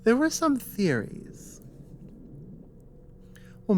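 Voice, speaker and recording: Girl playing a dragon lady for asmr roleplay, talks real slow n serious, protective, bit of background noise. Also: rumbling low, darkish tone, heavily acted. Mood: thoughtful, explaining. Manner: slow, halting, earnest delivery with pauses. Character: female dragon partner, earnest, resolute protective ally. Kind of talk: ASMR roleplay, intimate dramatic monologue.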